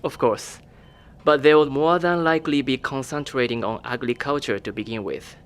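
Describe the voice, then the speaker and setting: A man playing a cool, evasive male government official who speaks in a calm, formal, measured way, in an audio drama. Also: mid-range voice, clean tone, natural voice.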